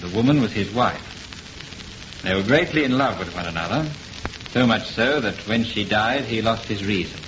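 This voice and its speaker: An actor playing a grave, calm gentleman investigator who explains with solemn deliberation in an old-time radio horror play. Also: on the low side, normal speed, lightly acted. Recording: noisy muffled old radio recording.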